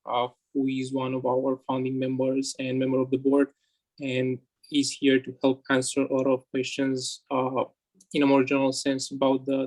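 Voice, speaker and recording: Flat-voiced male webinar moderator. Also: medium pitch, low-quality recording. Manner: hesitant, polite, orderly. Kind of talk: webinar welcome and housekeeping. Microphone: video call.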